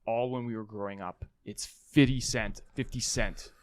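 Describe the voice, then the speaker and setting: A man's voice: on the low side, fairly even intonation, normal speed, no room sound. A man hosting a podcast in a casual group chat, swearing now and then. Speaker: podcast host.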